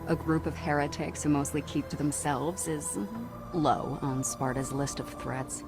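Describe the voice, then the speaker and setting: An actress playing a weary, dutiful Spartan priestess in a video game, speaking in a measured, reflective way. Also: female voice, low voice, steady tone. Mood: bitter, exasperated. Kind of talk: cinematic video game acting. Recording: music behind the voice.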